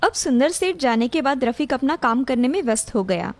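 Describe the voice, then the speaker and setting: A woman's voice: high voice, expressive, brisk. A woman reading a scripted children's story as narrator, with music behind her. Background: background music.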